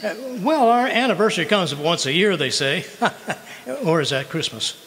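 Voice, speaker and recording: A man's voice: fairly high pitch, very animated, normal speed, a bit bright. A man playing a bumbling, boastful husband in old-time radio comedy, with folksy, stammering talk. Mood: flustered, sheepish. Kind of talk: 1940s radio comedy acting. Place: live stage performance in a community hall. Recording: noisy hall with long reverb.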